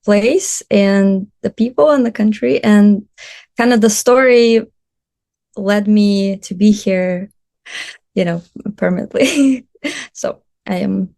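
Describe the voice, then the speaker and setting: A woman's voice: medium pitch, vocal fry, warm tone. A woman in her thirties, born in Russia with Russian as her first language, chatting casually as a podcast guest, laughing often and making small grammar slips. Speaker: podcast guest, photographer and traveler. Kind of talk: casual interview chat.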